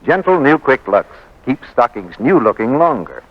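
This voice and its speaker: A man's voice: mid-range voice, sing-song, normal speed. A man reading scripted advertising as a polished, emphatic 1940s radio announcer. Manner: polished, persuasive, emphatic delivery. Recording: muffled 1940s radio broadcast.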